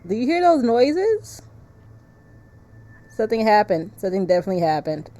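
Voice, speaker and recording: Mellow-voiced woman streaming a story game. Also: mid-range voice, sing-song, moderate pace. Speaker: streamer playing a visual novel. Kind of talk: casual live game commentary mixed with reading story text aloud.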